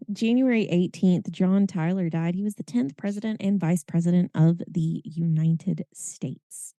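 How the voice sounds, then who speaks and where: A hoarse woman, co-hosting a podcast in casual, chatty talk full of fillers. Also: lowish voice, measured pace, warm tone, dry recording. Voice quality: raspy. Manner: chatty, lots of fillers and laughs. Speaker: podcast co-host. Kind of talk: casual chat.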